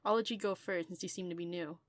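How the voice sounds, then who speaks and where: A woman's voice: on the low side, fairly even intonation, fast, natural voice. A woman voicing a laid-back, self-assured young male cosplayer in a comic fan dub. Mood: smug, friendly.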